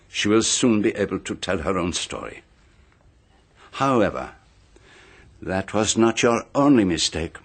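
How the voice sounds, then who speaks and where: An actor playing a shrewd, composed detective in a radio drama, deliberate and precise, with dramatic pauses. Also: male voice, fairly low pitch, husky. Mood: grave, methodical. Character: shrewd, composed male detective laying out a murder case.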